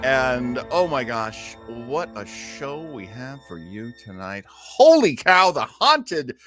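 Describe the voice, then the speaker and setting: A man's voice: mid-range voice, very animated, takes it slowly, a bit bright, soft background music. A man hosting a casual live online show in an effusive, folksy way with plenty of exclamations. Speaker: host of an online listening show.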